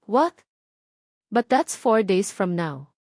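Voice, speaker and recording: A woman's voice: medium pitch, very animated, slow, a little mellow, dry recording, studio quality. A woman voicing an anxious, hesitant young mother and reluctant bride in a romance audio novel, questioning and unsure. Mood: startled, doubtful.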